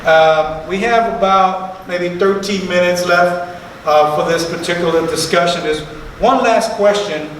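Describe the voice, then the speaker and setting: Moderator in an echoey hall, from afar. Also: male voice, high voice, a little slow, a bit bright, recorded from a distance, a little hiss or hum. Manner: conversational, chatty asides. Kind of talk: panel discussion moderating. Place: museum event space, live audience.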